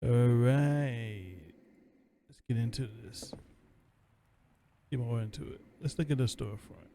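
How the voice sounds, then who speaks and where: A man's voice: medium pitch, measured pace, noisy background. A man giving casual, laid-back gameplay commentary, musing to himself as he plays. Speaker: let's play gamer commentating.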